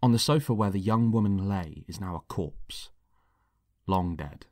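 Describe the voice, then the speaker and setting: Low, slow male dramatic narrator. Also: a little mellow, dry recording, studio quality. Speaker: writer and narrator. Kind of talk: scripted dramatic narration in present tense.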